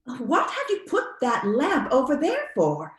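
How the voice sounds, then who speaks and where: High, very animated actress as a matronly sister. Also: female voice, measured pace, reverberant, heavily acted. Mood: exasperated. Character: Mrs. Brigham, placid matronly sister turning horrified. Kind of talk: old-time radio drama acting.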